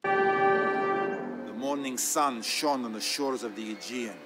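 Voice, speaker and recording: A man acting as a solemn Byzantine Greek storyteller, narrating his family's war tale for a game in a grave, measured, theatrical way. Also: mid-range voice, over loud music, natural voice. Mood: weary, reflective. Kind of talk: dramatic scripted narration.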